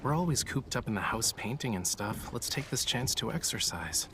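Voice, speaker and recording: A man's voice: mid-range voice, very animated, normal speed, noisy background. Cocky, teasing young male painter. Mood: casual, teasing. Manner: dry, playful, complaining. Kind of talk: video game voice acting.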